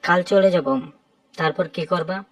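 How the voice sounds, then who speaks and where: A woman voicing a calm, gently teasing young male airline pilot in a romantic audio story. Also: fairly low pitch, measured pace, no room sound, far from the mic, pitched below the performer's own voice.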